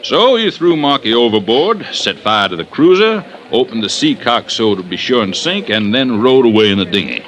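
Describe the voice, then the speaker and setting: An actor playing a brash, cocksure deputy district attorney in an old radio drama, arguing in a folksy, emphatic way. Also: male voice, mid-range voice, very animated, moderate pace, hardly any pauses, fairly bright tone. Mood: insistent. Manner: folksy, emphatic, argues his case with relish. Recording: vintage radio drama recording.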